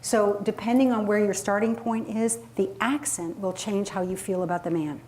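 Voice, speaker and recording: Woman, workshop coach, conversational and emphatic delivery, rhetorical questions, mid-pitched voice, audible room noise. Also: fairly even intonation, normal speed, darkish tone. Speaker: public speaking coach leading a workshop. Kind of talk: interactive workshop presentation. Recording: live room recording with clear background noise.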